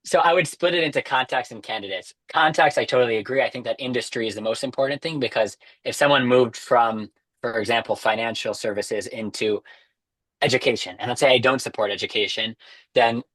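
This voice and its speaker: A man's voice: medium pitch, fairly quick, a bit bright. A young man giving structured, informal explanations as an expert guest on a webinar, speaking over a video call. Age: young adult. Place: indoors against a dark backdrop, on a video call. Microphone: wireless earbuds on a video call.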